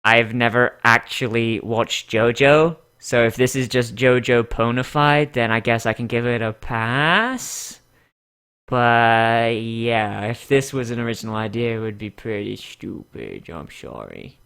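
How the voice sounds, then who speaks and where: A man's voice: medium pitch, animated, a little slow, gravelly, fairly bright tone, clear, resonant tone. A man giving casual, mocking comedic commentary as the host of a reading show. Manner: sarcastic, mocking.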